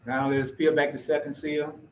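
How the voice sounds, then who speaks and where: Solemn high-voiced male pastor, church echo. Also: level tone, a little hiss or hum. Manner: solemn measured recitation. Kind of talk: communion liturgy. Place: church sanctuary. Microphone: pulpit mic from far camera.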